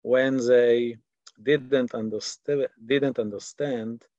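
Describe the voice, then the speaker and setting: Slow-spoken male lecturer on a thin line. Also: medium pitch, fairly even intonation, a little mellow, dry recording. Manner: halting, repeats words while searching for phrasing. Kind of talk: unscripted lecture. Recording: tinny, phone-like band-limited sound.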